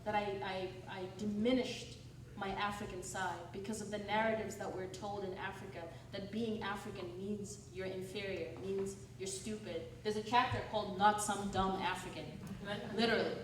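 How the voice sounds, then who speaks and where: A woman's voice: medium pitch, fairly even intonation, moderate pace. A woman from Malawi whose accent shifts between Malawian, American and British, telling stories from her life at a book event and acting out the voices she quotes. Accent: Malawian mixed with American and British. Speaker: author and speaker. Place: church hall. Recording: roomy hall, noisy, from a distance.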